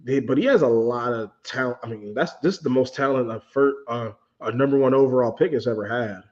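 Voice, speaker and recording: A man's voice: medium pitch, a little fast, darkish tone, highs cut off. A man in his late twenties, a guest on a casual sports podcast, blunt and laid-back, laughing often. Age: 20s.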